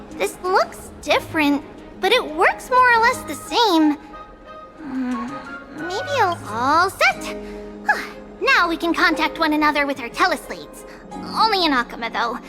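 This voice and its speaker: Tiny, very high-pitched little girl priest. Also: very animated, slow pace, heavily acted. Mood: helpful, chatty. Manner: bubbly, exclamatory, speaks of herself as "we". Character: tiny cheerful childlike girl high priest, helpful and proud. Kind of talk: video game voice acting. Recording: music behind the voice.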